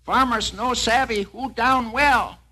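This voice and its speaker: A man voicing a timid, servile musician who speaks politely in high, broken phrases, read as a storybook. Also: fairly even intonation, slow pace, bright, forceful tone, heavily acted. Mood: anxious. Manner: high deferential broken speech.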